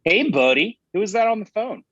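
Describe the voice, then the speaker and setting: A man's voice: high-pitched, sing-song, a little fast, a bit bright, slightly rough, no room sound, exaggerated voice. An actor playing a glib, smug man in his thirties with a casual manner, reading a comedy script on a muffled microphone. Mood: breezy. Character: glib, breezy thirty-three-year-old man, smug rival friend. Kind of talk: naturalistic comedy table read.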